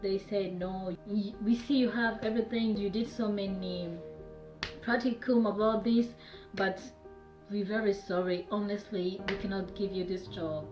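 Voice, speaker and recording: A woman's voice: medium pitch, fairly even intonation, normal speed. Rwandan woman in an echoey room. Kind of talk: personal testimony. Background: music.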